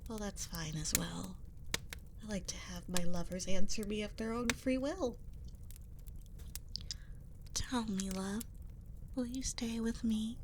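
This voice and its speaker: Seductive witch, played by a woman for ASMR roleplay: leisurely delivery, medium pitch, flirtatious yet sinister, over audible nature and fireside sounds. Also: steady tone, takes it slowly, pauses often. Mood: sly, possessive, then whispered menace. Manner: coy, flirtatious, politely sinister. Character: seductive, possessive witch on an isolated island. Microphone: close binaural 3Dio microphone. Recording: nature sounds and fire crackle behind voice. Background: nature ambience, fireplace.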